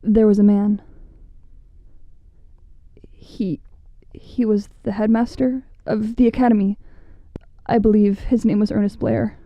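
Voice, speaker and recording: A woman playing an uneasy, deferential subordinate who confronts her master in an audio drama, hesitant and hushed. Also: medium pitch, steady tone, a little slow, long pauses, no room sound, dull audio, lightly acted. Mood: nervous, hesitant.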